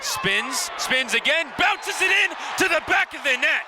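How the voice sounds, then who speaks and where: Man, extremely high excited pitch, live radio play-by-play, clear crowd noise behind. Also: a little slow. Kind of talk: excited live sports commentary. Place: live at a lacrosse game. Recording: noisy live broadcast, loud crowd. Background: crowd and venue noise.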